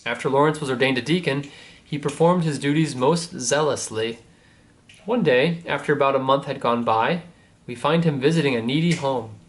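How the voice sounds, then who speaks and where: A man, a priest, reading a saint's storybook aloud to children in a measured way with casual asides. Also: mid-range voice.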